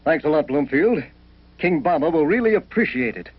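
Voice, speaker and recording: Raspy, friendly cartoon fisherman. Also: on the high side, animated, moderate pace, no room sound. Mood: friendly, appreciative. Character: friendly, helpful male fisherman in educational cartoon. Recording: dull old 1970 film soundtrack.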